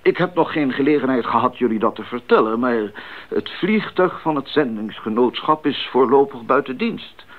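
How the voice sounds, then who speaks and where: Actor as lively, formal older missionary doctor. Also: male voice, mid-range voice, moderate pace, lightly acted. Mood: earnest, concerned. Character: older missionary doctor, earnest, genial, experienced mentor. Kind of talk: 1980s radio drama acting. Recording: muffled band-limited 1980s radio recording.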